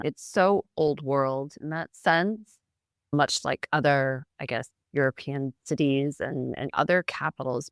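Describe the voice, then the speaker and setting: Chatty American woman, smooth voice. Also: low-pitched, dry recording. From United States, Boston area. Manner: chatty, animated storyteller who laughs. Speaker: guest, founder. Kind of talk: casual interview.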